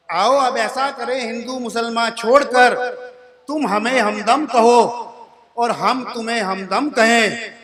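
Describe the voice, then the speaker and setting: A man's voice: very high voice, a bit bright. A man in his sixties, a comic poet performing at a poetry gathering, repeats each couplet line before the punchline and chats with the crowd as friends. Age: 60s. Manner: chatty, addresses the crowd as friends. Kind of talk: humorous poetry recitation and storytelling. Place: stage at a live poetry event.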